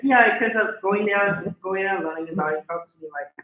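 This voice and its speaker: A man's voice: high-pitched, moderate pace, noisy background. A man making a casual comment from an audience, hesitant and repeating his words. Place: indoor live event room. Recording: echoey room, dull sound.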